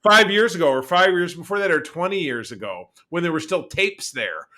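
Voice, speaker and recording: Male podcast host, forceful bright voice, emphatic and opinionated, rambles conversationally. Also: a little high, expressive, normal speed. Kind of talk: casual conversational podcast talk.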